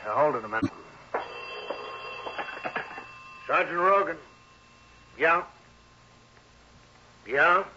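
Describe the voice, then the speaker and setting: Gruff male cop, raspy and forceful, bossy and impatient, dull vintage radio sound. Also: on the high side, exaggerated voice. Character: brusque, impatient male police sergeant. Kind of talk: 1950s-60s radio crime drama acting. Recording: dull, muffled old radio recording.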